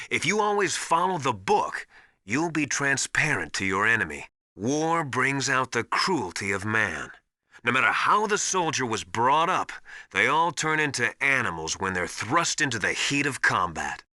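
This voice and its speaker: An actor playing a stern veteran soldier mentor in a video game, giving authoritative, emphatic and deliberate instruction. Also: male voice, mid-range voice, pushed and bright, dry recording, studio quality. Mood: grave, philosophical. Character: stern veteran soldier mentor, tough drill-master advising an operative. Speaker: military survival instructor. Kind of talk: scripted video game voice acting, instructional advice.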